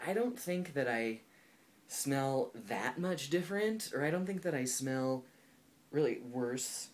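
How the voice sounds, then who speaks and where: Young man, 20s, vlogger. Casual, thoughtful to-camera talk full of fillers, mid-range voice. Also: mid-range voice. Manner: thoughtful and candid, heavy use of "like" and "um". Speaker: video blogger. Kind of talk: casual personal vlog talk.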